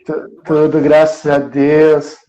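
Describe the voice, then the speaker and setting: Male live-show host, high-pitched, inland São Paulo Brazilian accent, informal and friendly chat. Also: completely flat delivery, takes it slowly, dark tone, lo-fi sound. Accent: Brazilian, São Paulo interior. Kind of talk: casual live interview chat. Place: remote video call livestream.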